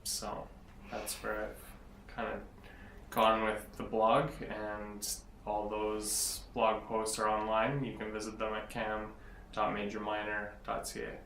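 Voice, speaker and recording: A young man speaking in a low, fairly flat voice. He talks earnestly and wanders as he searches for words. He sounds a little distant from the mic, in an echoey room with faint background noise. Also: slow. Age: 20s. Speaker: interviewee, blogger. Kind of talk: casual interview.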